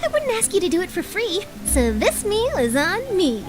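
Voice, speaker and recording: An eager young newswoman from a video game, speaking in a very high, bubbly voice. Her delivery is nosy and theatrical, leaping up and down in pitch. Music runs clearly behind her. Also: wildly swooping pitch, normal speed, full character voice. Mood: persuasive, gushing. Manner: bubbly, dramatic, gushing. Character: eager young female newspaper reporter, perky, nosy, theatrical. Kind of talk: animated video game voice acting.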